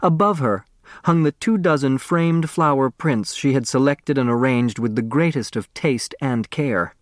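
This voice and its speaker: An American man reading a story as an audiobook narrator, expressive and precise. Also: mid-range voice, unhurried, dry recording, clean studio sound. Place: dry studio.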